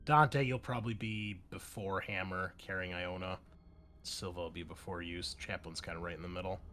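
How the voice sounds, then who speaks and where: A man's voice: low voice, fairly even intonation, normal speed, pushed and bright, slight background noise. A man acting as game master, narrating and refereeing a tabletop roleplaying game in a conversational, explanatory way.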